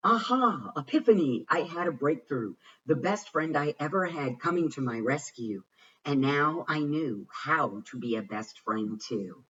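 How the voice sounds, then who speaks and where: A man giving an expressive read-aloud of a rhyming children's picture book, with deliberate, dramatic phrasing. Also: fairly high pitch, breathy edge. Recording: muffled, distant microphone.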